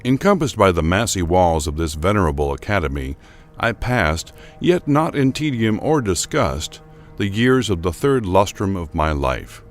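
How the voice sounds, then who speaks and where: A man with a very low voice narrates gothic horror in a formal, theatrical way. His pitch swings widely as he leans on key words with emphasis. The delivery is scripted and dramatic, suited to an audio drama. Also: sing-song, normal speed, faint music behind.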